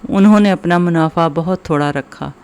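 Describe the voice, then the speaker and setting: A male storyteller reads a children's moral tale from a script. His voice sits a little high for a man. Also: normal speed, clear, resonant tone. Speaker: story narrator.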